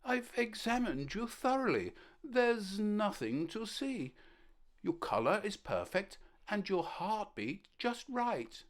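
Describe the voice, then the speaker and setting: A woman voicing a kind, calm male ant doctor in a storybook, gentle and reassuring. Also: medium pitch, slow. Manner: gentle, reassuring bedside manner. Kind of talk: storybook character voice.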